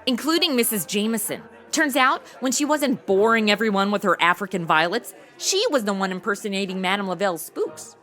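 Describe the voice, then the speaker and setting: A woman playing a sassy, slangy modern young woman in a comedy audio drama, flippant and excitable. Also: mid-range voice, brisk, hardly any pauses, soft background music, lightly acted. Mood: sassy, wry. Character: sassy modern young woman dropped into old radio mysteries.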